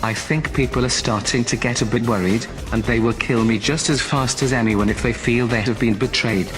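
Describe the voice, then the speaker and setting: A testy underworld fence from a science-fiction drama, acted by a man. He is sarcastic and grumbling, with an ordinary male pitch, and music plays clearly behind him. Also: steady tone, normal speed, natural voice. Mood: grudging, uneasy. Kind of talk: science-fiction film dialogue.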